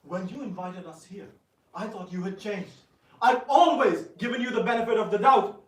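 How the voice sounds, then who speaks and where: A man playing a wronged royal lord in a dramatic reading, stern and forceful as his patience gives way to wrath. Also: high-pitched, normal speed. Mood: stern warning. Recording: roomy, distant live recording, crowd sounds.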